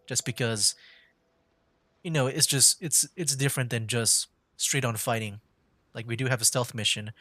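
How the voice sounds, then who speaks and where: A man's voice: medium pitch, fairly bright tone, dry recording. A man giving casual live commentary as he plays a video game, rambling and thinking aloud. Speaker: livestreamer playing a video game. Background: game music.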